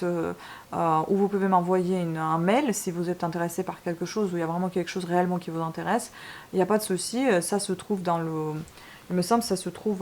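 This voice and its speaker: A woman's voice: fairly low pitch, darkish tone. A woman from Ukraine speaking French with a Ukrainian accent as a book channel host, talking straight to her viewers, frank and a little exasperated, with many fillers and restarts. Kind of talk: casual vlog monologue to viewers.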